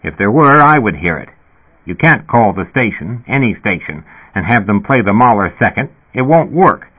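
A man's voice: fairly low pitch, big pitch swings, normal speed, breathy edge. A man voicing a blunt, crude, skeptical male police officer. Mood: exasperated. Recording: tinny phone-like sound.

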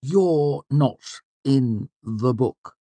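Man playing a haughty young gentleman, pitched up. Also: breathy edge, no echo. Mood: condescending. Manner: curt, condescending, slow emphasis. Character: haughty, scornful rich young gentleman clerk.

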